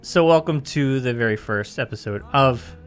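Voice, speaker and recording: A man's voice: mid-range voice, moderate pace, over loud music. A man in his thirties hosting a casual podcast, easygoing and a little rambling, often trailing off with "I guess.". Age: 30s. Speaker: podcast host.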